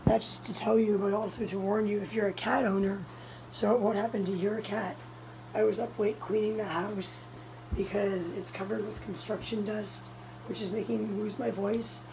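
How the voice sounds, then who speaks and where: A young woman with a hoarse voice, telling a story in a casual vlog, urgent and breathless, filmed close up on a phone in a small room indoors. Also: mid-range voice, fairly even intonation, moderate pace, background noise. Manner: breathless, urgent retelling of a scare. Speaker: cat vlogger. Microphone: phone camera held close, low angle.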